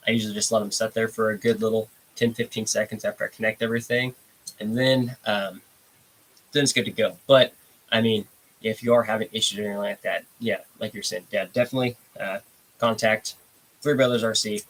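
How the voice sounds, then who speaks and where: A man's voice: fairly low pitch, little pitch movement, fairly quick, fairly bright tone, rough recording. Stuffy-nosed man chatting on a live stream. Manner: laid-back, rambling, reads out chat comments. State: congested, stuffy nose. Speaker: livestream host. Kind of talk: casual live stream chat.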